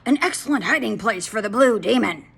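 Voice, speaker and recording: A woman's voice: fairly high pitch, animated, brisk, vocal fry, husky, pitched above the performer's own voice, full character voice. A woman doing a blustering grumpy farmer man. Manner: loud, barking, bossy.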